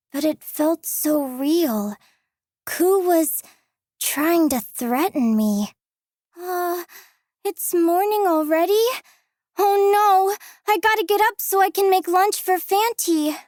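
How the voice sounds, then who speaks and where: A woman voicing an earnest, excitable, naive little girl helper in a video game. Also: very high voice, slow, dry recording, studio quality. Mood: shaken. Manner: childlike, earnest.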